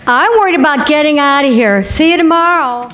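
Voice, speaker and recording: A woman's voice: sits high, brisk, a bit bright. A woman acting a worried, doubting friend and business partner in an old-time radio comedy, recorded in a hall. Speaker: actor in a radio play. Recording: echoey stage hall.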